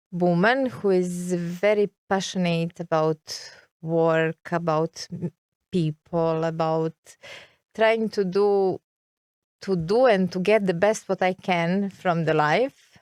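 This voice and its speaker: A woman's voice: lowish voice, slow, darkish tone, smooth, dry recording, studio quality. A middle-aged woman from Serbia with a Serbian accent, a guest in an interview, talking hesitantly and searching for words. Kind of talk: interview conversation.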